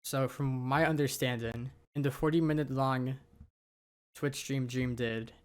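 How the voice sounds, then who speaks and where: A man's voice: medium pitch, steady tone, measured pace, clear, resonant tone. A young man who is a little sick, giving rambling, casual commentary over his gameplay. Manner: rambling, offhand, with many fillers. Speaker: gaming YouTuber.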